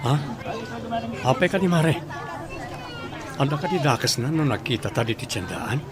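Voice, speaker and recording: A man's voice: mid-range voice, animated, normal speed, a crowd in the background, lightly acted. A man playing a chatty, nosy Ilocano villager in a comedy radio drama. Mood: friendly, teasing.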